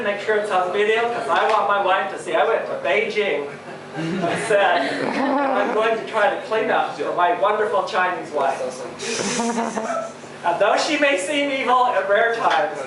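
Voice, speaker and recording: A man performing a stand-up comedy set in a small bar, chatting with the audience and asking them questions. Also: sits high. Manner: chatty crowd work, asks the audience. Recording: roomy bar, from far off, crowd. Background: audience laughing and chatting.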